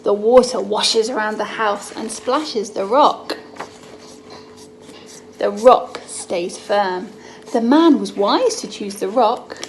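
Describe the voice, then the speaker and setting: A young adult woman reading a story to small children in an expressive, drawn-out way, as host of a children's Bible story time. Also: medium pitch. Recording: noticeable background noise, homemade recording.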